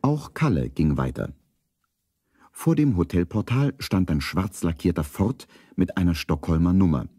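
Male narrator, very low pitch, dark mellow tone, scripted children's storytelling, radio play. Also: lively intonation, measured pace, breathy edge, no echo, clean studio sound.

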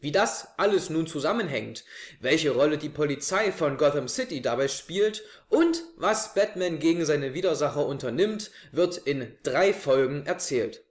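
A man's voice: a little high, expressive, normal speed, a little hiss or hum. A young man hosting a scripted review to camera from his living room. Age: young adult. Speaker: host. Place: small living room, on a sofa. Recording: echoey room, camera mic.

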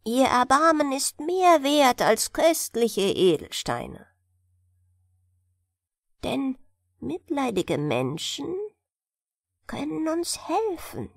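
A woman voicing a small, gentle male mandrake sprite in a fairy-tale audiobook, earnest and entreating. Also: sits high, very animated, drawn-out words, creaky, long pauses, no echo, studio quality, full character voice.

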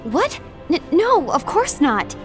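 A woman acting a composed, dutiful masked guild leader in a game cutscene, speaking in a measured, formal, earnest way. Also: very high voice, sing-song, over music, full character voice. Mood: uneasy.

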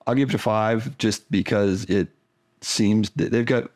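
A man's voice: on the low side, measured pace, darkish tone. A man chatting casually as co-reviewer, laid-back and hesitant, often repeating words as he starts. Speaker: vlogger's husband, co-reviewer. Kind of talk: casual chat.

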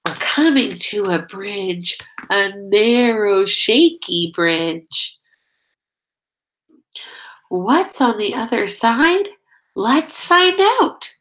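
Slow, expressive woman reading to children. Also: mid-range voice. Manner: expressive, drawn-out key words, prompting children with questions. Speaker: teacher reading a picture book aloud. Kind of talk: children's read-aloud.

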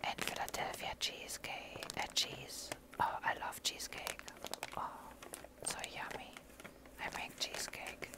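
A person with a German accent doing a breathy whispered ASMR show and tell, halting and rambling as they go. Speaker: ASMR creator.

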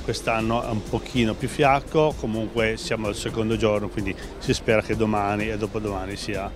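A man's voice: fairly low pitch, little pitch movement, a little fast. Hesitant man interviewed at a trade fair. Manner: hesitant, many fillers and restarts. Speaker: company president interviewed. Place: busy exhibition hall stand. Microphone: handheld interviewer mic. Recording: background music under voice.

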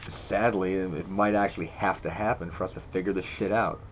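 A man with a fairly low voice reads quiz statements aloud and answers them on the spot. He is blunt and profane, often stammering and restarting as he thinks. A faint hiss sits under the recording. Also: steady tone, brisk. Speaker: video creator. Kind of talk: reading quiz questions aloud and reacting. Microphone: screen recording with voice-over.

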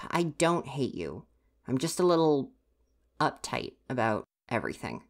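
A woman's voice: a bass voice, sing-song, unhurried, exaggerated voice. A woman playing a grumpy, easily flustered university-age stagehand in an ASMR roleplay, huffy and exasperated, talking straight to the listener. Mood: defensive, opening up. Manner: huffy, exasperated, sighing scolding.

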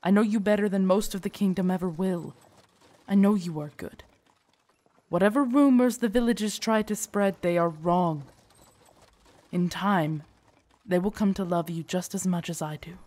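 A woman acting low-voiced, devoted lady knight. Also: moderate pace, pauses often, a little mellow, close to an everyday voice. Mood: earnest, reassuring. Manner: formal, courtly, hesitant and stammering when flustered. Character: devoted female knight, tender, earnest, shyly lovestruck royal guard. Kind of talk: roleplay audio drama, intimate scripted monologue.

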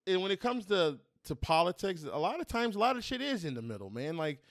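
A man's voice: sits high, big pitch swings, a little fast, a bit bright, no echo, studio quality. A man on a podcast, rambling loosely about his opinions in slangy speech. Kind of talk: casual political chat.

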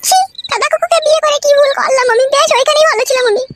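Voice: extremely high pitch, very fast, over loud music. A performer voicing a bossy, jealous, sulky young wife who nags and makes demands in a comic cartoon dub. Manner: nagging, scolding, demanding.

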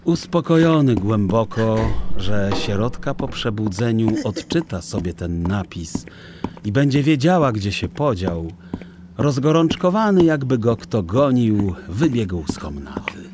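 Animated male storyteller, very lively pitch. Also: a little slow, background noise. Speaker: audiobook narrator. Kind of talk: expressive scripted children's story narration.

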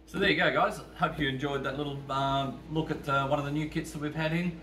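A man's voice: on the high side, steady tone, a little fast, bright, forceful tone, a little hiss or hum. An older man casually showing a model kit from his hobby shop, rambling with fillers and restarts. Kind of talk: casual product unboxing. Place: hobby shop floor, indoors.

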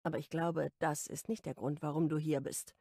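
A woman's voice: low-pitched, flat delivery, moderate pace, vocal fry, mellow, no room sound, studio quality, voice pushed down. A woman voicing an easygoing, teasing young man in an audiobook, blunt and casual in manner. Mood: curious, direct.

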